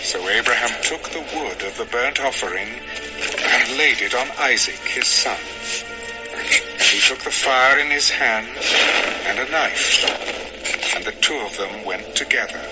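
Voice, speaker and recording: Casting: male narrator, mid-pitched, formal and measured scripture storytelling, clear music bed. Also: low-quality recording. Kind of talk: solemn dramatized scripture narration. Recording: music under the voice.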